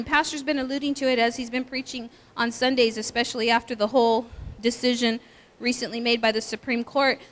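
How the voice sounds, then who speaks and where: A high, girlish voice belongs to this middle-aged woman pastor, who speaks well above the usual pitch for a woman. She talks to a women's breakfast in a chatty, animated way, full of asides. A long hall reverb trails her words.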